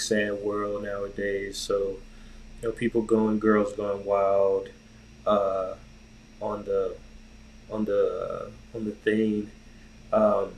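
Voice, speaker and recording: A man's voice: lowish voice, slight background noise, poor audio. A man co-hosting a podcast, chatting casually in a laid-back, slangy way. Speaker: podcast co-host. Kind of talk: casual chat.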